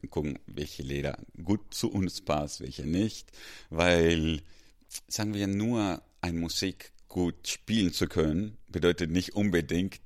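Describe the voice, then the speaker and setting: A middle-aged man from Ecuador with a South American Spanish accent, a music school head talking casually in halting German with frequent restarts and easy laughter. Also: low-pitched. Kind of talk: casual interview chat.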